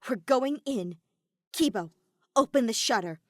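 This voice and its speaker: Tough teenage girl, played by a woman reader: curt, hissing, deliberate, commanding; audiobook character. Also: fairly high pitch, lively intonation, no room sound, studio quality, voice pushed up. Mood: stern, urgent.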